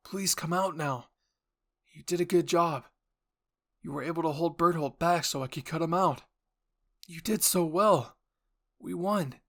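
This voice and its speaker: A man's voice: a little high, unhurried, pauses often, fairly bright tone, voice pushed up. A man voicing an earnest, protective young male soldier in an audio roleplay, pleading and reassuring. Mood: urgent pleading.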